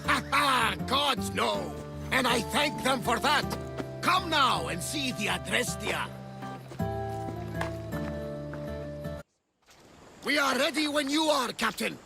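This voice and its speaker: An actor playing a weathered, one-eyed sea captain, a theatrical and boisterous middle-aged storyteller in a video game. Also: male voice, very high voice, lively intonation, unhurried, vocal fry, heavily acted. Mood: exuberant. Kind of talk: dramatic video game voice acting. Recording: music behind the voice.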